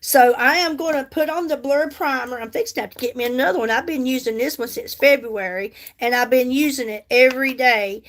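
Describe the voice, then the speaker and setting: A woman's voice: high-pitched, brisk, few pauses. A woman, chatting casually on a makeup livestream and answering viewer comments. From United States. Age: 50s. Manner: chatty, reads and answers viewer comments, repeats herself for emphasis. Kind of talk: casual livestream chat while doing makeup.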